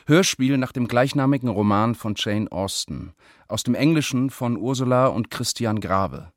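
A man's voice: medium pitch, moderate pace, dry recording, clean studio sound. A man announcing a radio drama's title and credits in a scripted, formal reading.

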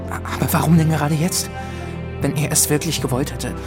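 A man's voice: on the high side, fairly even intonation, normal speed, natural voice. A man playing a bewildered young prince over music. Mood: alarmed, disbelieving. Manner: earnest, disbelieving. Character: bewildered, questioning young prince, heir to the throne. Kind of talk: fantasy audio drama acting. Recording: music under the voice.

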